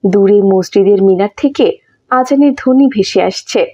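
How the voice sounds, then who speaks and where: A woman's voice: mid-range voice, a little slow, dark tone, lo-fi sound, faint music behind. A woman giving scripted story narration as the narrator of a moral tale.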